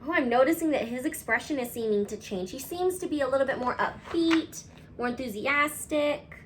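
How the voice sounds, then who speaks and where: A woman reading a picture book aloud to children, expressive and cutesy, talking directly to her young listeners. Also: high-pitched, a little fast, a little hiss or hum. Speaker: read-aloud host, storyteller for children.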